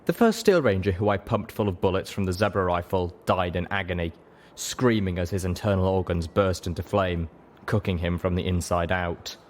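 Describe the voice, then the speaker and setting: A man reading a dramatic story as an audiobook narrator, measured, solemn and emotive. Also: deep voice, a little hiss or hum. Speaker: audiobook reader.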